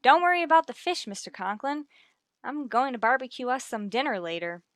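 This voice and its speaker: An actress playing a wry, wisecracking schoolteacher in an old radio sitcom. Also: female voice, sits high, lively intonation, fairly quick, no echo, studio quality. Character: wry, wisecracking female schoolteacher and cheerful female companions. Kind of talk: 1950s radio sitcom acting.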